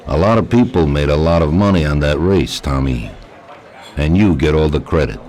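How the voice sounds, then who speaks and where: An actor playing a calm, authoritative, paternal crime boss in a video game cutscene. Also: male voice, very deep voice, moderate pace, some chatter behind. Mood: pleased, assured. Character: calm, authoritative male crime boss rewarding his man.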